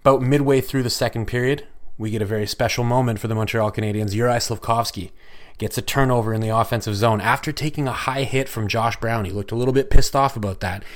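A man hosting a solo hockey podcast, recapping a game in an excitable, chatty way with lots of swearing, his voice going hoarse. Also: mid-range voice, fast talker. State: losing his voice. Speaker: podcast host.

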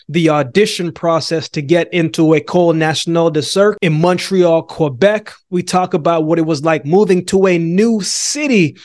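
A man born in Jamaica hosts a podcast, his voice sitting a little higher than most men's. He talks in an earnest, upbeat way, recorded dry and close with no room around him. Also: no echo. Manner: energetic, earnest, uses "man" often. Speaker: podcast host. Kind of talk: podcast interview.

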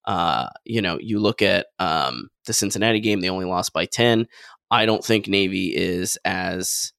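A man co-hosting a casual sports podcast, giving a measured take with hesitant fillers. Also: low-pitched, creaky, a bit bright, no echo, clean studio sound. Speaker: podcast co-host.

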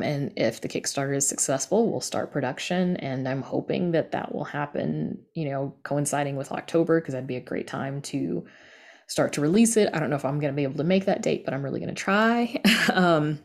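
Low-pitched American woman with a creaky voice. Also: darkish tone. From the American South. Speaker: interview guest, horror writer and podcast creator. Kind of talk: casual interview chat.

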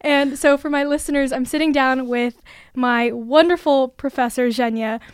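A woman's voice: sits high, steady tone, moderate pace, clear, resonant tone, no room sound, clean studio sound. A woman in her twenties hosting a podcast, talking in an earnest, effusive casual way with lots of fillers. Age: 20s. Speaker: podcast host and student.

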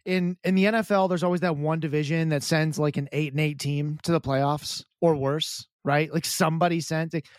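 A man with a fairly high voice talks in a chatty, lively way as a podcast co-host. He stumbles and repeats himself as he goes. The recording is close and dry. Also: normal speed, few pauses, smooth, no echo. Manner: chatty, animated, repeats words and restarts often. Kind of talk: casual sports chat.

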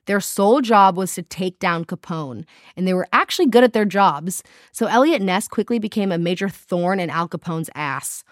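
South African woman, podcast co-host, animated storyteller, mid pitch, dry close sound. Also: dry recording. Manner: giggly, animated storytelling with silly voices. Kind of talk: casual chat, reading history notes.

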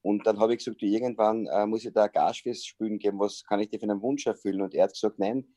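Low, mellow Austrian man. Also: fast, dry recording, studio quality. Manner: chatty storyteller with frequent hesitations. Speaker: sports journalist and comedian. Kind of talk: casual interview over video call. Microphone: video call.

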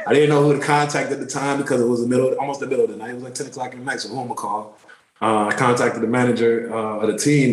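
A man in his thirties, a pro basketball player, telling relaxed, rambling stories in a casual interview. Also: medium pitch, reverberant, poor audio. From born in Turkey, raised in the DC area. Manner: relaxed, rambling, many fillers.

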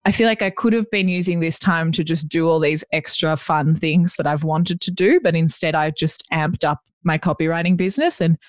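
A woman with a deep voice, chatting as a podcast guest and telling self-deprecating stories. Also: moderate pace, few pauses, no echo, studio quality. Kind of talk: casual chat.

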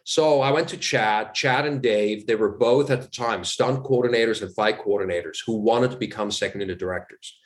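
A man's voice: on the low side, a little fast, few pauses. A man from Switzerland with a Swiss German accent, a guest in an interview chatting casually and acting out quoted dialogue as he tells stories. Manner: animated storyteller, acts out quoted dialogue. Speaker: actor guest.

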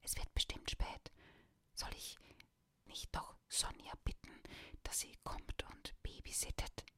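A woman's voice: a little slow, fairly bright tone, lightly acted. A woman whispering a caring mother. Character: caring mother checking on her son.

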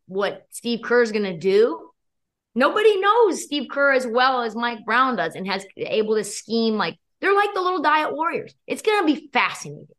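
A young woman guest with a hoarse, worn voice, talking sports and betting in a quick, energetic, opinionated way. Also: a little high. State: losing her voice. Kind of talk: casual sports chat.

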